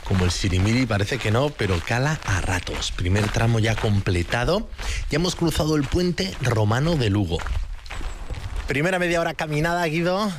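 A man with a middle-pitched voice hosts a travel show, chatting in a lively way as he walks. Faint outdoor noise stays beneath his voice. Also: moderate pace. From Bilbao, Spain. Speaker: host. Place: outdoors on a walking trail.

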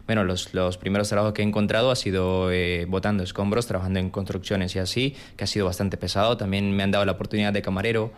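Low, flat-voiced young Colombian man. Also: quick pace, few pauses. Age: 20s. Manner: hesitant, with restarts and repeated words. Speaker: interviewee, private tutor. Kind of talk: casual interview answers.

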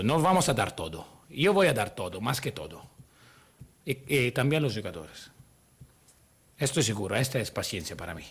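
A man with an Italian accent, a basketball coach answering press questions haltingly in a second language, hunting for words. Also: on the low side, breathy edge. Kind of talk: post-game press conference answers. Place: press room.

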